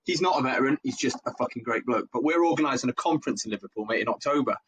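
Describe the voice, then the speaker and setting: A man co-hosting a podcast, chatting excitedly and calling his guest "mate" a lot. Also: mid-range voice, very fast, slight background noise. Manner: excitable, peppers talk with "mate". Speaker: podcast co-host. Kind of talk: casual podcast conversation.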